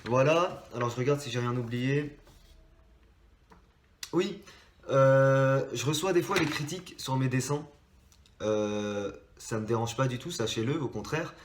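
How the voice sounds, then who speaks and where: A young man from France chats to his viewers with a blocked, nasal voice from a cold. He rambles, stops and restarts often, in a middle-pitched voice. The room around him sounds echoey. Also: a little slow, long pauses, a little hiss or hum. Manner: rambling, many hesitations and restarts. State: stuffy nose from a cold. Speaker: drawing channel host. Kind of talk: casual chat to subscribers.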